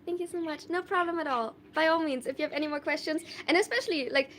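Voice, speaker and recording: A young woman from Germany with a high, light voice talks on a live stream. She answers viewer questions in a loose, thoughtful ramble full of fillers and restarts. Faint room ambience sits underneath. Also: fast, a bit bright, slight background noise. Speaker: livestreamer.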